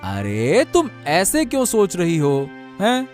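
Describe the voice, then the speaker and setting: A man's voice: high voice, huge pitch swings, slow, a little mellow, soft background music, full character voice. A man voicing a kind, devoted young husband in a cartoon story, earnest and a little hesitant. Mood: concerned. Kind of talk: Hindi cartoon story voice acting.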